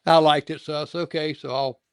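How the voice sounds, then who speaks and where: A man's voice: a little high, level tone, brisk, clean tone, no echo. A middle-aged or older man hosting a casual interview, talking in a rambling, folksy way and stammering over repeated words. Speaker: show host interviewing a guest.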